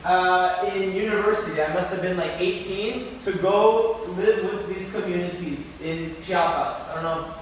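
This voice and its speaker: High-voiced man in an echoey classroom. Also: a little slow, few pauses. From United States, near New York City. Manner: chatty, rambling, many fillers and self-corrections. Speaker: guest speaker. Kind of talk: casual classroom talk with questions to students. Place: university classroom. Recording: echoey room, distant mic, faint noise.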